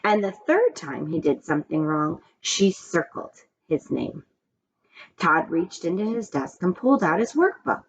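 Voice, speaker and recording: A woman reading a children's story aloud in an expressive storytelling style. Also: fairly low pitch, unhurried. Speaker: story reader. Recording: dull, muffled, background noise.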